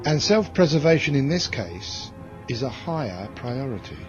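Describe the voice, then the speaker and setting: An actor playing a hard, bitter military commander in a science fiction audio drama, with a curt, commanding way of talking. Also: male voice, mid-range voice, sing-song, moderate pace, close to an everyday voice. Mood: probing, cold. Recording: dull lo-fi audio drama recording, music behind.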